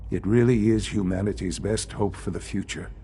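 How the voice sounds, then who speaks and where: An actor playing an elderly male leader of a secretive science institute in a video game, speaking in a measured, formal, gravely earnest way. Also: lowish voice, fairly even intonation, mellow, natural voice. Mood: earnest, persuasive. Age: older. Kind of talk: video game dialogue acting.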